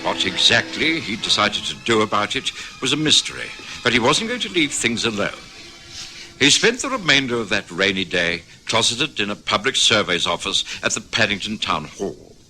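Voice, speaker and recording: An earnest detective's sidekick and narrator in an old radio drama. His voice is fairly low yet crisp and forceful, with animated inflection. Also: moderate pace, soft background music, exaggerated voice. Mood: measured. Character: earnest, inquisitive male companion of a detective.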